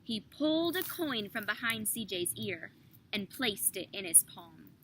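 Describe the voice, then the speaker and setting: A young woman narrating a children's picture book with measured, careful phrasing. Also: mid-range voice, big pitch swings, fairly bright tone. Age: young adult. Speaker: student storybook reader. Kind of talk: scripted children's story narration. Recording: noticeable background noise.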